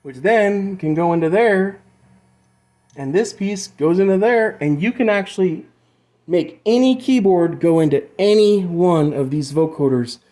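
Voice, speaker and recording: A man's voice: high voice, a little slow, dark tone. A man giving a casual hands-on tutorial about music gear, rambling and loosely explaining as he goes, with some swearing. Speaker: amateur music gear demonstrator.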